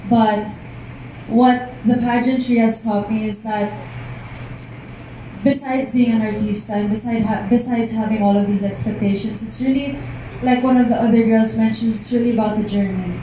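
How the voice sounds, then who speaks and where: A poised young woman speaks into a handheld microphone, her voice mellow and a bit high. The large hall around her rings with strong echo. She answers press questions in an earnest, composed way. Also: steady tone, normal speed. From United States. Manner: poised, earnest, mixes in Filipino words. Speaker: pageant candidate. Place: large event hall indoors. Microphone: handheld mic. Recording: echoey hall, hum of air conditioning.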